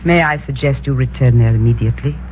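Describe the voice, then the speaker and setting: A woman's voice: a bass voice, fast talker, slight background noise. An actress playing a haughty queen who talks in a commanding, aloof manner, in a 1950s radio drama. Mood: imperious. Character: haughty young queen, imperious and cool. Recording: dull lo-fi old radio recording.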